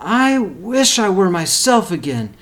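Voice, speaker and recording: A man's voice: sits high, slow, voice pushed up, heavily acted. A man voicing a desperate, pleading young male donkey in a children's storybook. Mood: longing. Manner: excited, pleading, exclaiming.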